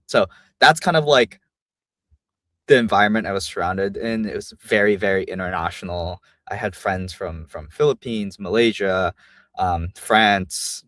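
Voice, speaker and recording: A young man from Vietnam, speaking with an American accent as a guest on an interview show. His low-pitched voice is recorded dry and close, with no room around it. He rambles comfortably, with plenty of "um" and "like" between thoughts. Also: no echo. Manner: relaxed, many fillers like "um" and "like". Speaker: guest, company founder. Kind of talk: casual interview chat.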